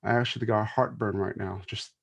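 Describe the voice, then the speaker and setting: Low gravelly-voiced man, easygoing. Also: fairly even intonation, fairly quick. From United States, Filipino American. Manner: easygoing, chuckles often, lots of fillers. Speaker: church worship team member, panel guest. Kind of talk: casual panel sharing on video call.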